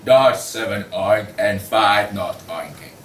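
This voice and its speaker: Slow, low-voiced pig foreman played by a young man. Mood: businesslike. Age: young adult. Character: businesslike jury foreman pig, stern and orderly. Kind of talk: student table read of a comic courtroom play. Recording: distant, echoey room with faint noise.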